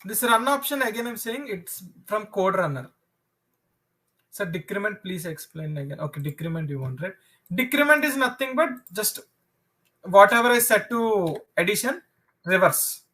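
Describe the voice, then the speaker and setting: Male coding tutor, South Indian accent, native Telugu speaker, high sharp voice, informal, repetitive live-class delivery. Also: expressive. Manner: repetitive, halting explanations that circle back to restate points. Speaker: programming instructor. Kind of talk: informal live online lesson.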